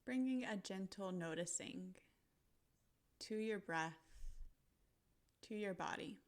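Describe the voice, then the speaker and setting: A woman narrating a guided visualization in a gentle, soothing manner, pausing deliberately between short phrases. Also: mid-range voice.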